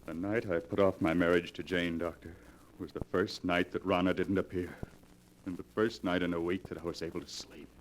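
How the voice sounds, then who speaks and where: An actor playing a haunted, fearful young bridegroom confessing intensely, in 1940s radio melodrama style. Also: male voice, low-pitched, level tone, brisk, natural voice, rough recording. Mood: dread. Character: haunted, fearful young bridegroom recounting his torment to a doctor.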